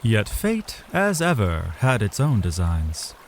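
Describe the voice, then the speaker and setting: A man's voice: fairly low pitch, huge pitch swings, takes it slowly, slight background noise. A man narrating a scripted fantasy story as a literary, dramatic storyteller.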